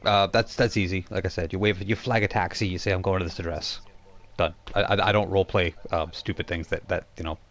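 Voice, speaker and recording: A man's voice: deep voice, fairly even intonation, brisk, a bit bright. A man with an informal, explanatory way of talking, improvising as game master of an online role-playing game.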